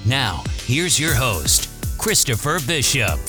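A man's voice: medium pitch, sing-song, takes it slowly. A man reading a polished scripted podcast intro as announcer. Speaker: show announcer. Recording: voice over music.

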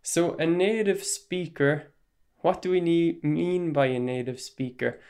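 An Irish man hosting a solo podcast, explaining his views in a measured, even-tempered way. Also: on the high side. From Ireland. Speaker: podcast host.

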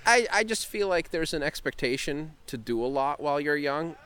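A man guesting on a podcast in casual chat. He talks in a dry, deadpan way and keeps cutting in with quizzing questions. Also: on the high side, normal speed, clean tone.

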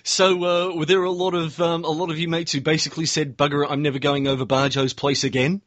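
Host: man, slightly high voice, easygoing and friendly, dry booth sound. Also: little pitch movement, fast, fairly bright tone, dry recording, muffled recording. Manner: friendly, hesitant questions. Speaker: podcast host. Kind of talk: casual interview.

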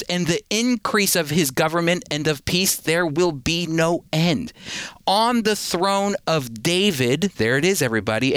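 A man's voice: fairly high pitch, fairly bright tone, no echo. A man teaching the Bible on a radio show, earnest and emphatic, repeating words as he drives a point home.

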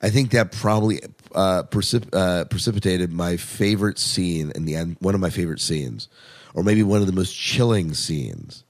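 A man's voice: deep voice, lively intonation, moderate pace. A man hosting a podcast in casual discussion, reacting openly and putting leading questions to his co-host.

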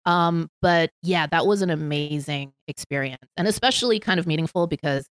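A woman's voice: deep voice, moderate pace, clean tone, no room sound. A woman from Hong Kong with an American accent, chatting as a podcast guest and laughing often between phrases. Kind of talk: casual conversation.

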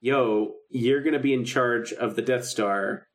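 A man's voice: medium pitch, fairly even intonation, unhurried. A man co-hosting a podcast, recapping a story in casual, enthusiastic fan talk. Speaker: podcast co-host. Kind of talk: casual chat, recapping a radio drama.

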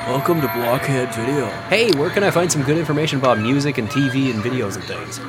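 A man's voice: mid-range voice, lively intonation, fast. A man delivering a scripted segment intro skit for a podcast. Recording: loud music under the voice. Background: loud music.

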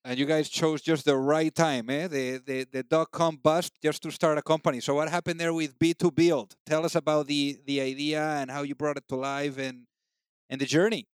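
A man's voice: fairly high pitch, flat delivery, normal speed, clear, resonant tone, no room sound. A man with a Spanish accent hosting a podcast interview, upbeat and chatty. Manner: upbeat, chatty with many fillers. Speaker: podcast host. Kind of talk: casual interview chat.

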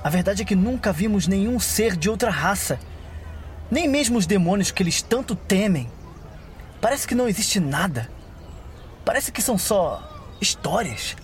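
Um ator interpretando um jovem guerreiro idealista e sonhador num audiodrama de fantasia, com fala melancólica e sincera. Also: male voice, high-pitched, lively intonation, normal speed, long pauses, slight background noise. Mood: wistful. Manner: wistful, earnest, theatrical. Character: young idealistic dreamer warrior yearning to see the world. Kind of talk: fantasy audio drama acting. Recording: ambient sound effects behind.

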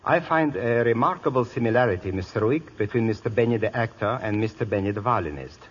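A man's voice: fairly low pitch, lively intonation, fast, breathy edge. A male guest trading dry, sardonic scripted banter on a 1930s radio show. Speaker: guest violinist. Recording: dull old radio broadcast.

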